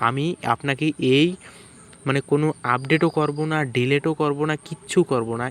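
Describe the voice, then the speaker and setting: A man's voice: mid-range voice, a little hiss or hum. A man with a Bangladeshi accent giving an informal screen-share tutorial in an insistent, repetitive way, often checking that listeners agree. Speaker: tech tutorial YouTuber.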